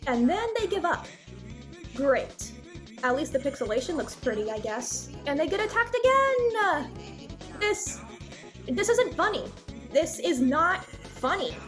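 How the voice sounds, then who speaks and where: High-voiced woman giving an opinionated review. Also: sing-song, a little slow, a bit bright. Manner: conversational, opinionated, openly exasperated. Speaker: video reviewer. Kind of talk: cartoon review commentary with live reactions. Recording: voice-over with background music. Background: music.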